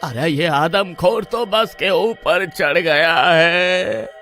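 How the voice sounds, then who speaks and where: A man's voice: high voice, very slow, sharp tone, faint music behind, full character voice. A man voicing a panicky comic young villager who wails and shrieks at every danger, in a horror-comedy cartoon. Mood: panicked.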